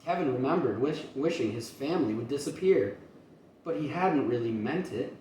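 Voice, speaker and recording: A man reads a children's storybook aloud as a kindergarten teacher would, with expression. His voice sits at an ordinary pitch, a little away from the microphone in an echoey room with faint noise. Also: expressive, normal speed, mellow. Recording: roomy, distant mic, faint noise.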